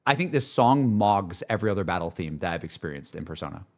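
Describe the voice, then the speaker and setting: A man's voice: low voice, animated, quick pace, darkish tone. A man chatting casually as a streamer, effusive and slangy, repeating his phrases for emphasis. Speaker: streamer and video host. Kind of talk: casual live reaction chat to game music. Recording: music playing behind the voice. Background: video game battle music.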